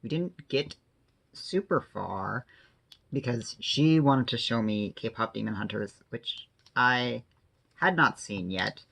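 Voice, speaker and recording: A man's voice: mid-range voice, lively intonation, takes it slowly, vocal fry, fairly bright tone. A man chatting casually and informally as he hosts a craft vlog, rambling a little and correcting himself as he goes. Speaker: host of a diamond painting vlog. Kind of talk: casual chat while working on a craft.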